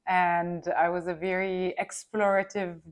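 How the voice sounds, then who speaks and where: A woman's voice: on the low side, flat delivery, unhurried, clear, resonant tone. A woman from Milan with an Italian accent, a native Italian speaker, talking as a podcast guest in a reflective way, often searching for words. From Milan, Italy. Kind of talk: casual interview chat.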